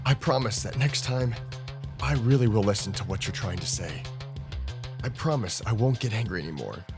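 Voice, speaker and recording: A man's voice: lowish voice, expressive, moderate pace. A man voicing a feisty, desperate teenage manga heroine in an urgent, pleading, sweary manner. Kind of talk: manga read-aloud. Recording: music under the voice.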